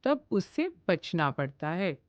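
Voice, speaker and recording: A woman's voice: fairly low pitch, big pitch swings, takes it slowly, muffled recording. A woman voicing a big male bully animal in a cartoon, talking threateningly.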